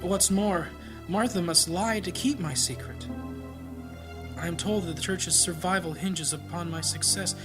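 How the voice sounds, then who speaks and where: A man playing a troubled, devout small-town priest in an audio drama, speaking a halting, pleading prayer in earnest. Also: high-pitched. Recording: music behind the voice.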